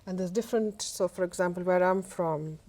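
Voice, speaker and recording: Low, mellow-voiced woman from Punjab. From Punjab, Pakistan. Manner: careful, halting, with frequent fillers. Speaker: podcast guest. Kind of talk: casual conversation.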